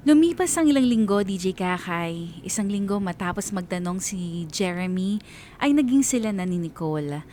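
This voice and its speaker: A woman's voice: animated, moderate pace, mellow. A woman reading a dramatic, scripted first-person story as narrator. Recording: clear rumbling background noise.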